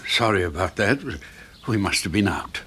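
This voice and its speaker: A British actor playing a modest, apologetic gardener neighbour in a 1970s radio play, hesitant and reserved. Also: male voice, deep voice, normal speed, fairly bright tone, slight background noise. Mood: polite, apologetic.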